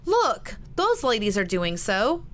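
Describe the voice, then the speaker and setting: Woman putting on a high, excitable younger sister. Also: slow pace, heavily acted. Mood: excited pleading. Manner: exclaiming, whining. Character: flighty, excitable, petulant teenage younger sister. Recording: noticeable background noise.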